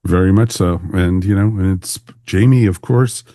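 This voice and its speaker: A man's voice: deep voice, moderate pace, mellow, dry recording, clean studio sound. A man hosting a podcast, asking questions in casual chat and agreeably backing up what the guest says.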